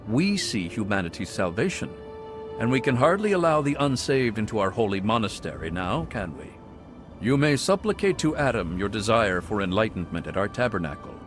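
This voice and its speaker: An actor playing a serene, zealous male cult monk in video game dialogue, speaking in a soft, pious, sermonizing way. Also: medium pitch, lightly acted. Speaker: game character.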